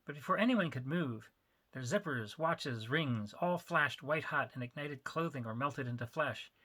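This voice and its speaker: A man narrating his own story as an author, in an expressive, emphatic dramatic reading style. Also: mid-range voice, normal speed, slightly rough.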